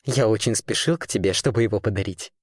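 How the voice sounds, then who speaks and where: A woman's voice: very deep voice, quick pace, darkish tone, dry recording, clean studio sound, pitched below the performer's own voice. A woman voicing an eccentric, gleeful and tender male weaver from a storybook, with quaint old-fashioned, coaxing speech. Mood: gentle. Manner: archaic servile "-s" endings, coaxing endearments.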